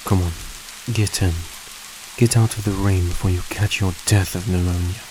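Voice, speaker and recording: A man playing a suave, tender centuries-old vampire in a roleplay audio drama, speaking in a soft, coaxing, genteel way to the listener. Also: low-pitched, moderate pace, natural voice. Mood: caring, playful. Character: suave, gracious centuries-old male vampire, young-looking, tender and grateful. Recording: steady background noise, vehicle-like ambience.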